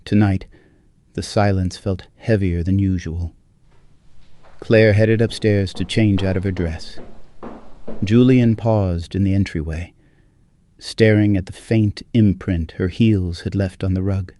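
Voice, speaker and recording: A man narrating a scripted dramatic story in a measured, hushed, solemn manner. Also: low-pitched, dry recording.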